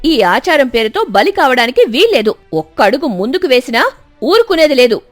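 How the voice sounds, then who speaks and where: Woman playing a shrill, defiant village woman. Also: very animated, a little fast, faint music behind. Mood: fierce. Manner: loud, forceful. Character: defiant village woman rebelling against deadly custom. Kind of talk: dramatised moral story.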